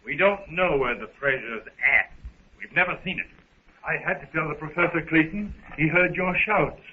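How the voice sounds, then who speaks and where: An actor as an earnest gentleman, lightly high-pitched. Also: normal speed, close to an everyday voice. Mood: firm. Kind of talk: 1930s radio acting. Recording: noisy, narrow-band 1930s radio recording.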